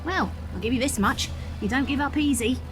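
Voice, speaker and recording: An actress playing a tough, sharp-tongued young female rat boat captain with a British accent in a game cutscene. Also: high-pitched, brisk, background noise, lightly acted. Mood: grudging, brisk. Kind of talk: video game cutscene acting.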